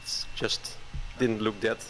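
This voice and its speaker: A man around forty, a caller chatting hesitantly with many fillers and soft chuckles. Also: on the low side, little pitch movement, takes it slowly, noisy background. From Netherlands. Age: 40s. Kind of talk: casual chat.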